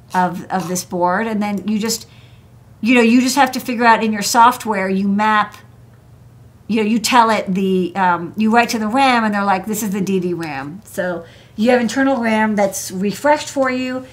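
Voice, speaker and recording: Casual American woman talking tech. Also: medium pitch. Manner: informal, filler "like" and asides. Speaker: host, electronics engineer. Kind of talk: casual technical chat on a livestream. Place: indoor desk workspace.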